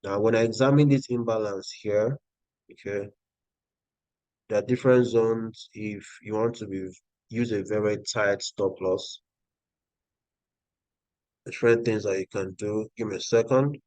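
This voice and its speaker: A man's voice: on the low side, steady tone, unhurried, long pauses, darkish tone, no echo, rough recording. Man, Nigerian accent, live trading host, talks through charts, close dry mic. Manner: talks viewers through charts, answering chat. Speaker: trading educator hosting a live session. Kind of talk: live-stream market analysis.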